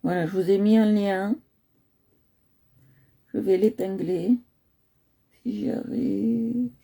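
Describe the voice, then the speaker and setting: A woman's voice: medium pitch, takes it slowly, warm tone, husky. A woman from Nice hosting a live coloring stream, chatting casually with many hesitations and fillers, talking into a computer at home. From Nice, France.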